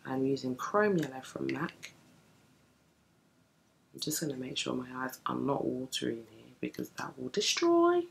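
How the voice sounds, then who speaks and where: A young woman with a deep early-morning voice, chatting through a makeup tutorial at home while she works on her eyes. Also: sing-song, normal speed, vocal fry, slight background noise. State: just woken, deep morning voice. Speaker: beauty vlogger. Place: home room with bookshelves, indoors.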